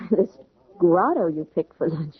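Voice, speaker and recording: An actress playing an indignant, sharp-tongued young woman with a direct, sardonic manner in a radio drama. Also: fairly low pitch, expressive, a little slow, breathy edge, no room sound, full character voice. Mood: sceptical, sardonic. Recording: muffled old radio recording.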